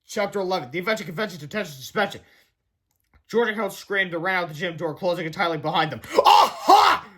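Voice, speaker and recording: Male storybook reader, high voice, expressive children's read-aloud delivery with the odd stumble. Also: fast talker. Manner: expressive, stumbles over some words.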